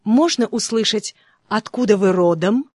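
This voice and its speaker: A speaker reading short phrasebook sentences slowly and clearly for language learners. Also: medium pitch, darkish tone, no room sound, studio quality. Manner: slow, clearly spaced repetitions. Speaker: phrasebook reader.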